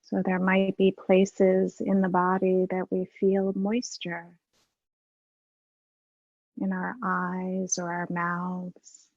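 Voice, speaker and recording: A woman leading a guided meditation as a teacher, unhurried and leaving long pauses. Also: on the low side, completely flat delivery, a little mellow, no echo, muffled recording.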